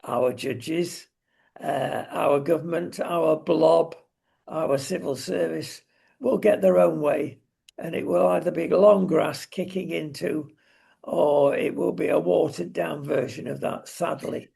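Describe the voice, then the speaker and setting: A man with a husky, gravelly voice co-hosting a talk show, giving opinions and advice in emphatic, deliberate phrases. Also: fairly high pitch, fairly even intonation, dark tone. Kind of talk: opinionated casual discussion.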